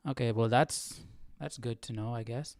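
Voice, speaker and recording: A man's voice: medium pitch, steady tone, moderate pace, darkish tone, no room sound, studio quality. A man hosting a live Bible study with casual commentary, reasoning aloud hesitantly and often checking himself with "right?".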